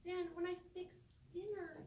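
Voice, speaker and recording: A middle-aged woman giving a humorous storytelling speech to a live audience, expressive and theatrical, with dramatic pauses and drawn-out words. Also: very high-pitched. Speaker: speech contest competitor. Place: roomy indoor space before an audience. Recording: echoey room, distant microphone, faint noise.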